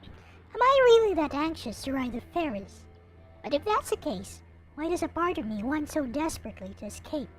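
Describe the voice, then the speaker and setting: A woman reading a visual novel aloud in an expressive, theatrical way, like a dramatic narrator. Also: high voice, normal speed. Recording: music playing behind the voice. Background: visual novel soundtrack music.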